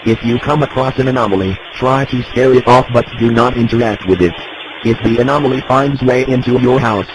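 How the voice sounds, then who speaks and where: A man reading a scripted emergency broadcast in a formal, steady official tone. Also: mid-range voice. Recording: hissy radio broadcast.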